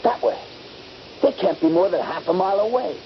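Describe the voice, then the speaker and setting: A man's voice: high-pitched, expressive, fairly quick. An actor playing a bold, eager young Union Army soldier in a radio drama, confident and dramatic in his urging. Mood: eager, reminiscing. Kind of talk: radio drama acting with first-person narration. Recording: dull, noisy 1970s radio recording.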